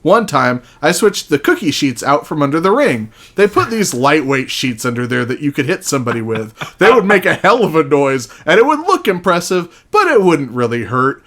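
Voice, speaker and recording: Man with lively, higher-pitched voice. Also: moderate pace, hardly any pauses. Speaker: podcast guest. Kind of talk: casual chat with read-aloud book excerpts.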